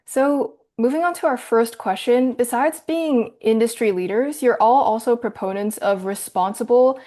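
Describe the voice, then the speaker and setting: A woman's voice: fairly high pitch, normal speed, warm tone. A woman moderating a panel discussion, thanking speakers politely and moving to the next. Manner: polite, appreciative.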